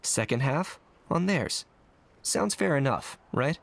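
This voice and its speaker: Casual young game warrior, medium-pitched. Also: male voice, lively intonation, slow pace, creaky, heavily acted. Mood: relaxed, decisive. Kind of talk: video game voice acting. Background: music.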